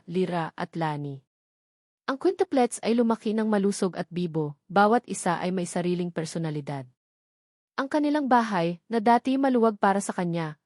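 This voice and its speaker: Woman doing scripted story narration, mid pitch, close dry recording no room sound. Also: a little mellow, no echo.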